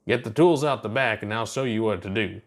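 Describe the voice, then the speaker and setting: A man voicing a gruff, easygoing veteran space pilot and instructor with a casual, folksy drawl in an audio story. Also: on the low side, fairly quick, close to an everyday voice. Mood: casual, instructing.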